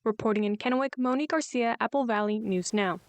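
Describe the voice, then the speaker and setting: A woman reporter with a higher voice reads her story clearly and evenly. The sound is dry and close. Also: fast, no echo. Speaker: news reporter. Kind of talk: scripted news report.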